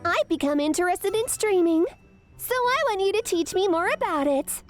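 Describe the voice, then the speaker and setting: Very high-pitched, haughty anime vampire girl. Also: moderate pace, soft background music. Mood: eager, matter-of-fact. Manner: expressive, cutesy, with gasps. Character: young female vampire dungeon boss, haughty, curious, easily excited. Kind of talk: anime-style manga dub acting.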